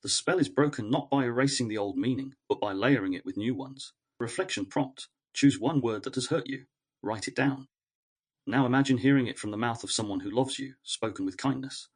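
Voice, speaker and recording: A man reading a scripted, reflective essay in a measured, earnest way with short, deliberate phrases. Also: mid-range voice, breathy edge. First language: English. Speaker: narrator of an educational essay series.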